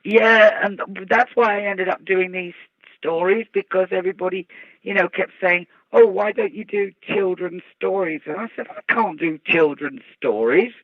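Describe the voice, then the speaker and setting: A woman with a Birmingham accent and a gravelly voice, chatting casually and laughing easily. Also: mid-range voice, normal speed, dry recording. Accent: Birmingham English. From English Midlands. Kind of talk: casual chat. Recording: dull lo-fi dry recording.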